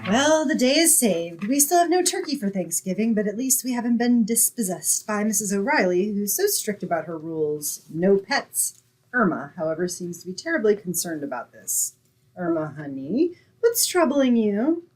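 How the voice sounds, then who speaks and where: A woman playing an exasperated, sensible young roommate who narrates an old-time radio sitcom in a wry, expressive way. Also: mid-range voice, normal speed, rough recording. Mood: wry, confiding.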